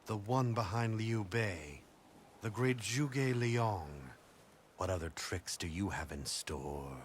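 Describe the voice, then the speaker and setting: An actor playing a cold, arrogant male strategist in a video game cutscene, speaking in a haughty, measured way. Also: fairly low pitch, lively intonation, a little hiss or hum. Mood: cold disdain. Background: game music score.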